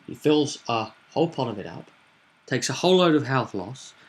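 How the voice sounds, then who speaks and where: A man's voice: medium pitch, lively intonation, normal speed. A man chatting as a game master, recounting a tabletop roleplay session with emphatic, dramatic storytelling.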